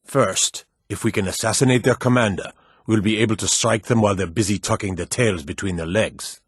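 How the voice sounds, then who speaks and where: An actor playing a brusque soldier officer in a fantasy video game, giving terse, commanding, businesslike briefings. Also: male voice, on the low side, brisk, breathy edge, no echo, studio quality. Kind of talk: scripted video game dialogue.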